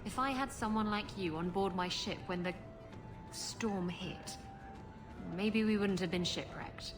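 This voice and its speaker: An actress playing a roguish, flirtatious pirate captain in a video game, teasing and playful. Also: female voice, mid-range voice, a little slow, lightly acted. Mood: teasing, relaxed. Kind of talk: bantering game dialogue. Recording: music behind the voice.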